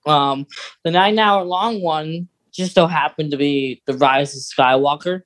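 A podcast co-host in casual film discussion, talking haltingly and thinking out loud. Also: medium pitch.

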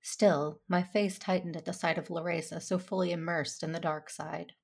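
A woman playing an earnest, restrained Jedi who narrates her own story in a measured way for an audio drama. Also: lowish voice, fairly even intonation, a little mellow. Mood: measured, serious.

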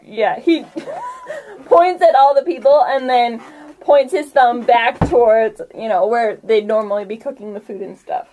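A woman narrates a tabletop game as its game master. Her voice sits on the high side and she takes her time, feeling out the scene haltingly. The delivery is casual and giggly. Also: sing-song, dark tone, a little hiss or hum.

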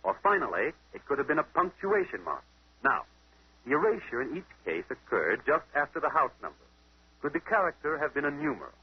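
Husky, animated radio detective. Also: male voice, a little high, a little fast, full character voice. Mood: deductive, assured. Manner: methodical, questioning, theatrical. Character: confident amateur detective son explaining his deductions. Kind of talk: 1940s radio mystery acting. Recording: muffled old-time radio broadcast.

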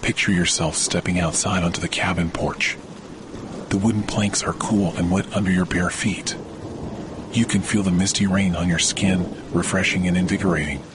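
A man narrating a guided sleep meditation in a slow, soothing, measured way with long pauses. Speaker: meditation podcast host. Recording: rain and thunder noise throughout. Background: steady rain and rumbling thunder.

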